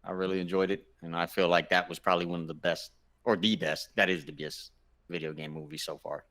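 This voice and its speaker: A man's voice: low voice, steady tone, a little fast, a bit bright. A man co-hosting a casual chat, giving his opinions emphatically and repeating himself for stress. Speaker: podcast co-host.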